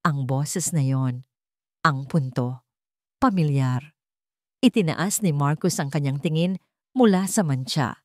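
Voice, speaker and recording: A woman's voice: fairly low pitch, darkish tone, no room sound, clean studio sound. A woman with a Filipino accent reading a scripted dramatic story as narrator.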